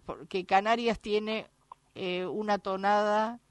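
A woman's voice: mid-range voice, fairly even intonation, takes it slowly, smooth, dry recording. Argentine woman radio host, dry sound. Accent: Argentine Rioplatense Spanish. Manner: chatty, tells anecdotes. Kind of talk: conversational radio chat.

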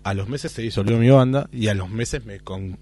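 A man's voice: on the low side, normal speed, a little mellow. An Argentine man in his thirties, a guest casually telling anecdotes in a conversational way. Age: 30s. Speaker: guitarist guest.

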